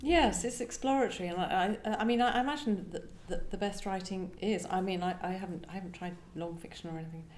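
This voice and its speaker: A woman's voice: medium pitch, fast talker, hardly any pauses, a little mellow. A woman, a poet speaking as a guest at a live literary event in a reflective style. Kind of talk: reflective discussion and poetry reading. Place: echoey indoor venue at a live event. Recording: roomy, echoing live venue.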